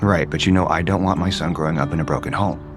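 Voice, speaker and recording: A man's voice: low-pitched, fast, background noise. A male actor playing a guarded, conflicted adult son and unhappily married father in an audio soap opera. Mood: hesitant. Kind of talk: naturalistic soap opera acting.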